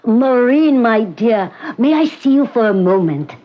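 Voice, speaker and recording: An actress playing a doctor, speaking in a formal, measured, clipped way. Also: female voice, on the high side, expressive, dull audio.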